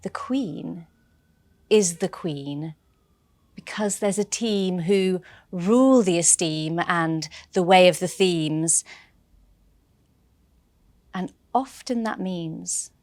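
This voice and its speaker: A woman with a fairly low voice performs rhyming poetry in a playful rhythm. She takes her time over the lines. The sound is dry, with no room echo. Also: slow, pauses often, darkish tone. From England and Trinidad. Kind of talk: rhyming spoken-word poetry.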